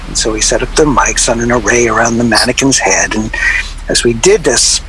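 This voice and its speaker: Man acting as a middle-aged guy rambling through old memories, wry, lively pitch swings, some noise behind him, radio drama. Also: natural voice. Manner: halting, digressive, wry. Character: reminiscing record-label man, wry, rambling interviewee. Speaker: interviewee. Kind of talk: naturalistic radio drama, conversational reminiscence. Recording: location recording with clear background noise.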